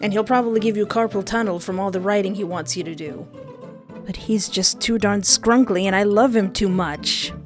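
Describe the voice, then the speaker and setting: A young woman hosting a countdown of game characters, excitable and goofy, often interrupting herself. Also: medium pitch, moderate pace, faint music behind. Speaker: youtube host.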